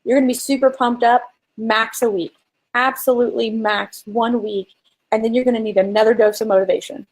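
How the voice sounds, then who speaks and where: A woman's voice: fairly high pitch, fairly even intonation, fairly quick, rough recording. A woman co-hosting a casual business coaching chat, talking informally and emphatically and explaining with examples. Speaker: co-host and marketing coach.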